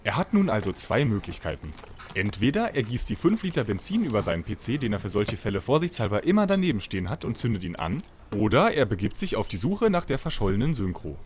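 A mock-solemn male narrator of a comic radio play, played by a man with a dryly flippant streak. His pitch swings widely, and faint noise sits behind the voice. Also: big pitch swings, fast talker. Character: audio-drama narrator, mock-solemn, flippant, bickering with the hero.

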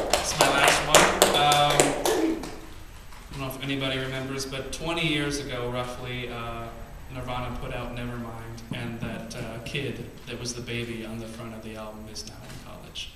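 A man in his thirties reading his poems on a small cafe stage, emphatic and rhythmic, with quiet asides between poems. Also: mid-range voice, flat delivery, normal speed, background noise. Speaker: spoken word poet. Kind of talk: spoken word poetry reading. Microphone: stand mic, far camera. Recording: echoey venue, camera from far off.

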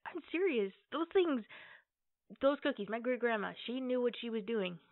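A woman playing an affectionate, playful girlfriend in a casual, conversational ASMR roleplay, with hesitant pauses. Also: fairly high pitch, expressive, no room sound. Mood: nostalgic, enthusiastic. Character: affectionate, playful girlfriend baking cookies at home. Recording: tinny, phone-like band-limited audio.

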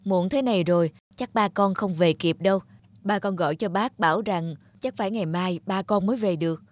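A woman playing a kindly middle-aged neighbour who minds children in an animated tale, gentle and reassuring. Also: mid-range voice, fairly quick, dry recording, close to an everyday voice. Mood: concerned. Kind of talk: animated moral-tale voice acting. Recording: music under the voice.

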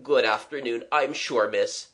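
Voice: medium pitch, big pitch swings, a little slow, fairly bright tone, pitched above the performer's own voice. A reader voicing a flustered working-class young man, gasping, agitated and apologetic, in a storybook. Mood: dazed, anxious.

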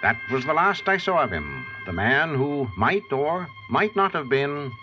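A man's voice: a little high, big pitch swings, music in the background. Formal vintage radio host, man. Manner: formal, measured, theatrical. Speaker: host and narrator. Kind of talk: 1940s radio scripted narration. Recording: muffled old radio recording.

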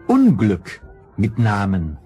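A stately fairy-tale king with a proud bearing. He speaks slowly with lively, swinging pitch, in a children's radio play with music around him. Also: exaggerated voice.

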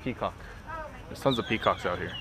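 A young man from Southern California hosting a fishing vlog. He gives a relaxed, casual recap, full of fillers and restarts, into an action camera held at arm's length outdoors. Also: lowish voice, fairly quick, background noise. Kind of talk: casual selfie-style vlog narration. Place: outdoors by a park lake, later on a street-side patio at night. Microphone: action camera held close at arm's length.

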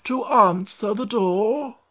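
A man voicing a very old frog servant who mutters in a hoarse, whispery, slow drawl for a storybook. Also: high voice, a bit bright, pitched above the performer's own voice, exaggerated voice. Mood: slow, puzzled.

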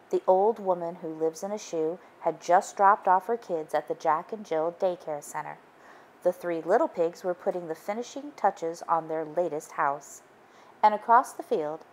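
A woman's voice: on the low side, darkish tone, slight background noise. A woman narrating a children's storybook as a read-aloud. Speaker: storybook reader.

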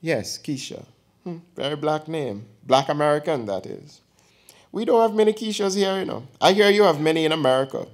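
A man's voice: fairly high pitch, animated, brisk, voice pushed up, close to an everyday voice. A man reading an audiobook, voicing an elderly aunt who teases and gossips with theatrical flair. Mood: teasing. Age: older. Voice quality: teasing, theatrical, lapsing into dialect.

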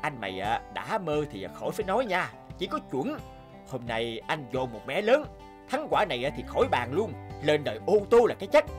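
A man's voice: on the high side, big pitch swings, brisk, faint music behind. A man voicing a cocky, boastful young gambler in a cartoon, swaggering and scornful as a bossy older brother. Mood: bragging. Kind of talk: animated moral tale dialogue.

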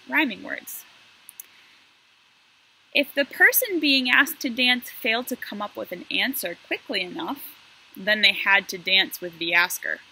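A woman in her thirties talking to first graders as a guest story reader, explaining things simply and in an animated way. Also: high-pitched, gravelly, a little hiss or hum, poor audio. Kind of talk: addressing young children, explanatory read-aloud intro. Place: outdoor covered patio.

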